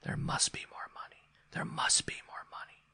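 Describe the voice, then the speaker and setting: Haunted house's secret voice: fully whispered, eerie, insistent storybook delivery. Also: male voice, normal speed, sharp tone, no echo. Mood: eerie, urgent. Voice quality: breathy unvoiced whisper. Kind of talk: literary audiobook character voice.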